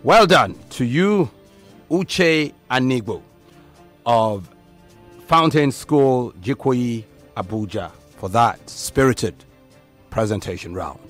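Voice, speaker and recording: Slow-paced Nigerian man moderating a debate. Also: mid-range voice, lively intonation, soft background music. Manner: formal, halting announcer phrasing. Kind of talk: event moderating, announcements.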